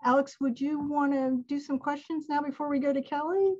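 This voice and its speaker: A woman's voice: high-pitched, steady tone, a little fast. Chatty woman on a muffled video call. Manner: warm, chatty, laughs often. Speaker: alumni event presenter. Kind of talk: casual webinar talk.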